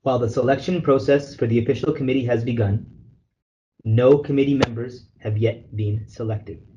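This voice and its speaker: A man lawyer reading a formal legal letter aloud on a conference call, in a measured, formal way. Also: medium pitch, steady tone, warm tone. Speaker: lawyer, representative counsel leading a call. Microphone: telephone conference call. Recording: dull conference phone call with noise.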